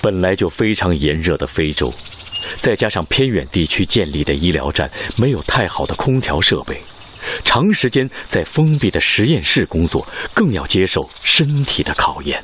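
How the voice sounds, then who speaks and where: Male narrator for radio drama: low voice, wide expressive intonation, scripted storytelling, faint music underneath. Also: moderate pace, slight background noise.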